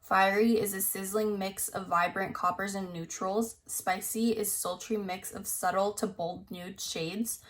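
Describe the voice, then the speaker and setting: Young woman beauty vlogger, casual and rambling, slightly scratchy voice, middle pitch. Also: fairly even intonation, a little slow, hardly any pauses, a bit bright. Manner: rambling, full of "like", reads product blurbs aloud. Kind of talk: casual chat reviewing new makeup releases.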